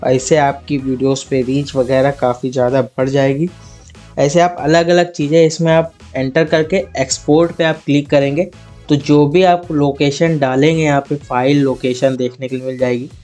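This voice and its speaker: A man, narrating a software tutorial as a channel host in an instructional way. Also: mid-range voice. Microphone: lapel mic. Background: faint background music.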